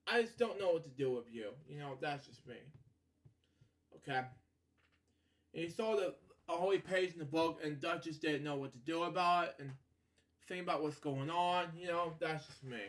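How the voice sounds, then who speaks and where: A man reading a children's story aloud as host, stumbling and repeating himself, with rambling asides and habitual catchphrases. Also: fairly high pitch. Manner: halting, stumbling over words, repeating himself; frequent filler catchphrases like "okay" and "you know".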